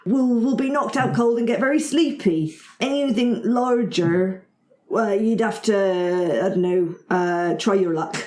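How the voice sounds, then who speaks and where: A woman's voice: mid-range voice, a little slow, darkish tone, natural voice. Woman voicing a fussy, rambling goblin shopkeeper, roomy sound. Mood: eager salesman. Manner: hesitant, rambling sales patter. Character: male hobbling goblin alchemist shopkeeper, chatty and fussy.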